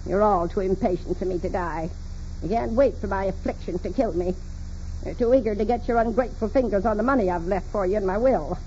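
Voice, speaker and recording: A woman's voice: medium pitch, brisk, mellow, lightly acted. An actress playing a despotic, irascible old invalid woman of wealth in a 1940s radio drama, imperious, suspicious and sarcastic. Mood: bitter, suspicious. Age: older. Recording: muffled, noisy 1940s radio recording.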